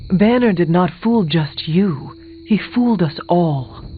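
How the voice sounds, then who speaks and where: An actor playing a solemn young warrior hero in a video game, speaking in a measured, formal and earnest way. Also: male voice, high voice, lively intonation, breathy edge, exaggerated voice. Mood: grave warning. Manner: measured, formal, avoids contractions. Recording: thin phone-like game audio, music behind.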